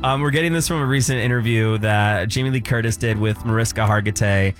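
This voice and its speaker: A man's voice: lowish voice, faint music behind. A male radio host from outside Chicago, chatting and teasing his co-host in easygoing on-air banter. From outside Chicago, USA. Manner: chatty, emphatic, quick to tease.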